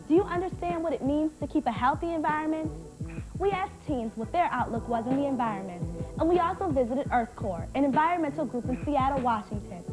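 A woman's voice: sits high, poor audio. A young woman presenting a teen TV show, in an upbeat, scripted studio delivery. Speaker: show host.

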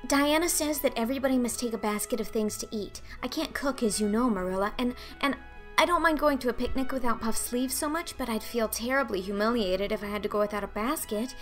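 A woman voicing an excitable, dreamy young girl who talks in a breathless, gushing, dramatic way for an audiobook. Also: a little high, brisk, soft background music, lightly acted. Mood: anxious. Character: excitable, dreamy, talkative eleven-year-old orphan girl. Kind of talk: audiobook character acting.

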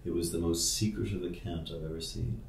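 A man's voice: sits low, steady tone, fairly quick, gravelly, reverberant, a loud crowd. A male podcast host asking questions in casual, rambling, teasing conversation.

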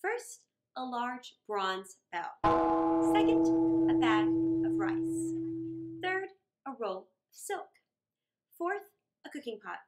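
A middle-aged woman reading a story aloud for children in a theatrical way, with deliberate phrasing and dramatic pauses. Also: on the high side, sing-song, very noisy. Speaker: children's storytime reader and host.

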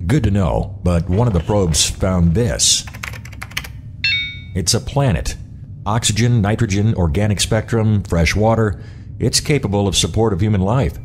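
A man's voice: on the low side, unhurried, noisy background, lightly acted. A man playing a respectful starship officer who reports in a grave, matter-of-fact way in a sci-fi audio drama. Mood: grave reporting.

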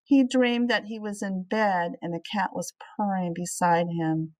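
A middle-aged woman reading a children's storybook aloud expressively, with measured, deliberate phrasing, as a teacher would. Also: medium pitch, fairly even intonation, darkish tone.

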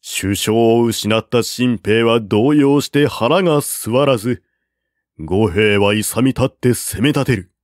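Male narrator, middle pitch, unhurried storybook reading, dry booth sound. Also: no room sound. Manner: measured, deliberate reading with raised voice for quoted generals. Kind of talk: scripted reading of a classic war novel.

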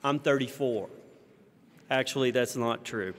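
An American man in his fifties giving a prepared conference keynote at a podium, with measured, rhetorical delivery and deliberate pauses. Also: mid-range voice, a little hiss or hum. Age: 50s. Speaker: keynote speaker. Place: large conference hall stage, indoors. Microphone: podium microphone. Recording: reverberant hall sound.

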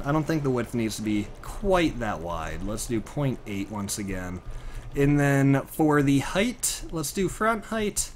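A man's voice: mid-range voice, a little hiss or hum. A young American man chatting in a friendly, casual way as he commentates his video game livestream. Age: young adult. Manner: chatty, friendly, thanking viewers. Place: indoor room, at a desk.

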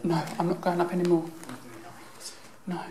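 A woman's voice: lowish voice, level tone, moderate pace. A woman exploring an abandoned building, talking nervously and exclaiming in fear. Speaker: urban explorer. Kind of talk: handheld exploring vlog. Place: dark abandoned building indoors. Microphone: handheld camera. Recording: echoey empty building, loud noise.

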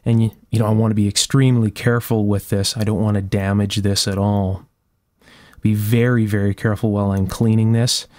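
A man's voice: on the low side, flat delivery, a little fast, darkish tone, dry recording. Man narrating repairs, dry close sound. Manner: careful, step-by-step explaining. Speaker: electronics restorer and video host. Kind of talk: casual hands-on repair narration. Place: indoor electronics workshop at a bench.

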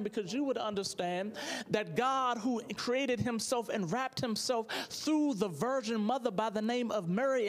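A middle-aged American man, a pastor, preaching emphatically and repeating phrases from a church pulpit into a handheld mic. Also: very high-pitched. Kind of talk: sermon. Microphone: handheld microphone.

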